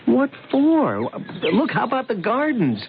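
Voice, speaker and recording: A glib young fella in an old radio play, chatty, skeptical, hissy phone-like sound. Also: male voice, very high voice, wildly swooping pitch, heavily acted. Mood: breezy, needling. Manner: breezy, halting, wisecracking. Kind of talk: old-time radio drama acting. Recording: muffled, noisy old radio recording.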